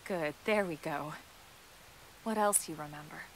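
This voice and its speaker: Female sci-fi soldier, game cutscene, lowish voice, steady, reassuring, matter-of-fact, faint rainy hiss. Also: a little slow, poor audio. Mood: calm, reassuring. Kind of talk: video game cutscene acting. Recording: faint rain and hiss behind.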